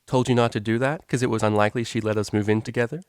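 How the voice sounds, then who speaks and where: A cheeky unicorn DJ mare from a storybook, played by a man, easygoing and slangy. The voice sits close and dry, with a relaxed, casual manner. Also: medium pitch, racing, clean tone, dry recording, close to an everyday voice. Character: laid-back, cheeky female unicorn DJ.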